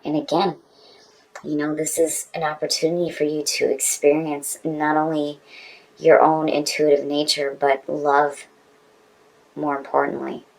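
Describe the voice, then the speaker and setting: Low voiced lady doing a tarot reading, chatty and informal, kinda emphatic. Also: level tone, unhurried, gravelly, darkish tone, low-quality recording. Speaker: tarot reader. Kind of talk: casual conversational tarot reading.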